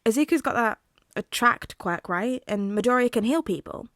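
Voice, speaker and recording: A woman's voice: a little high, expressive, moderate pace, a bit bright, dry recording, studio quality. A woman playing an uneasy, casual young man who talks uncertainly in a story reading. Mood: unsure.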